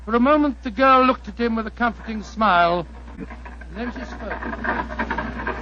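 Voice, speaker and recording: A man's voice: very high voice, a little slow, sharp tone, a little hiss or hum, full character voice. An actor playing an earnest English doctor narrating his friend's adventure dramatically, with a British accent, in 1940s radio style. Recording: dull 1940s radio recording.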